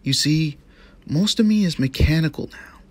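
A woman voicing a gruff, self-conscious male cyborg rabbit uncle in an amateur cartoon, terse and reluctant. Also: low-pitched, normal speed, slight background noise, pitched below the performer's own voice. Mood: reluctant, glum. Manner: terse, reluctant, flat-toned.

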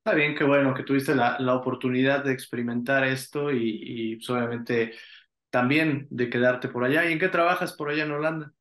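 A man's voice: medium pitch, steady tone, fairly bright tone, roomy sound. A man hosting an interview over a video call, asking friendly questions and nodding along with short murmurs. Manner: friendly, brisk questions, frequent "ujú" backchannels. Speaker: interview host. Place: home office with shelves. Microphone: video call webcam.

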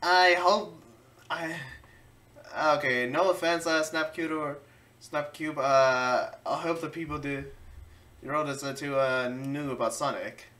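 A woman's voice: deep voice, steady tone, unhurried, a bit bright, recorded from a distance. A woman hosting a casual reaction video, commenting over what is playing.

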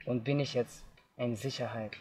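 A young man from Afghanistan, telling his own story outdoors in simple, halting learner's German. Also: mid-range voice, steady tone. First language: not German. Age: young adult. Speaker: interviewee. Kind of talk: personal testimony. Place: outdoors in tall grass.

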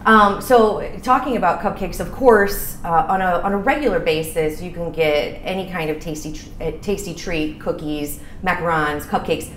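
A woman's voice: fairly low pitch, moderate pace, hardly any pauses, fairly bright tone. A woman in her thirties hosting a casual promotional chat, talking in a chatty, enthusiastic way. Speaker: show host. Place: small bar room, indoors. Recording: roomy echo, some room noise.

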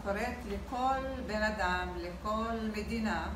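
Fast-talking woman in an echoey room. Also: medium pitch. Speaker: peace activist, panel guest. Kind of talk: spoken appeal in a panel talk. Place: small indoor meeting room, seated. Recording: echoey room, distant mic, noisy.

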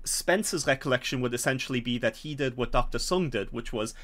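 A middle-aged man, explaining a tabletop scenario as game master in an animated way. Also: mid-range voice, fairly quick. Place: small home room, on a video call.

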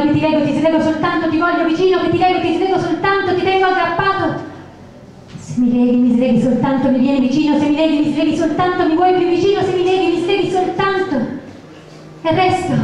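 Female poetry performer, very high voice, incantatory and insistent delivery, strongly echoing sound. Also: a little mellow. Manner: incantatory, rhythmic, insistent repetition. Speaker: poet performer. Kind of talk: dramatic spoken-word poetry recital. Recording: very echoey, reverberant sound.

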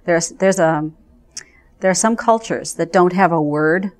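A middle-aged woman with an American accent gives a conversational interview. Her voice sits on the low side, and she picks her words thoughtfully, with some halting phrases. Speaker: arts education program representative. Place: indoors in front of a curtain.

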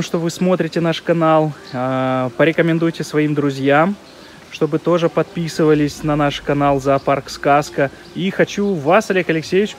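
A man's voice: on the high side, slight background noise. A man hosting an on-location interview for a channel, speaking outdoors from behind the camera. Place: outdoors at a park, street-side.

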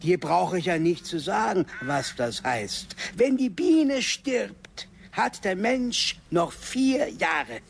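A lonely older man from a village, talking on and on to anyone who stops, a radio play. His voice is fairly high and lively, swinging up and down. He takes his time over every word. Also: slow pace, gravelly, few pauses, slightly rough, full character voice. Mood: chatty. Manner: rambling, eager to talk.

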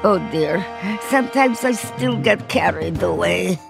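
An actress playing a scheming, gloating duck sorceress in a cartoon game, theatrical, with a put-on Eastern European accent. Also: female voice, on the low side, expressive, unhurried, creaky, loud music behind the voice, full character voice. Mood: sheepish. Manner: theatrical, cackling villain delivery.

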